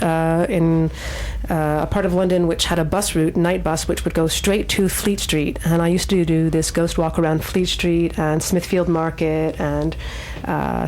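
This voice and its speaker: Low-pitched woman hosting radio. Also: a little mellow, low-quality recording. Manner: rambling, repetitive storytelling. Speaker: radio show host. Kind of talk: casual spoken anecdote on community radio.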